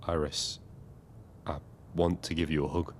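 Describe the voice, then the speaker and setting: A lonely cab driver with a deep voice, telling his story in an audio drama. He is hesitant, kindly and gently dry. Steady ambient noise sits behind him. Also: a little slow. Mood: concerned, tender. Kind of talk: audio drama narration and dialogue.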